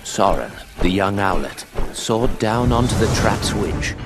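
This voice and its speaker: Brief: an owl warrior narrating a game, low voice, steady storytelling, music under. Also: male voice, measured pace. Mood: earnest. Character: older male owl guardian narrating, steady.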